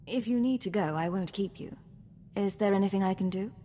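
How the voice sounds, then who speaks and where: An actress playing a composed female imperial secret agent in a video game, speaking in a measured, dry-witted way. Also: medium pitch, dark tone, big-room sound, slight background noise, natural voice. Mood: businesslike.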